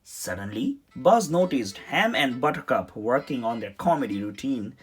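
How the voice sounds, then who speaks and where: Someone reading a children's story aloud in an expressive, dramatic way. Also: medium pitch, moderate pace, breathy edge. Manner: animated, dramatic delivery. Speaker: storybook reader. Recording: music under the voice. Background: music.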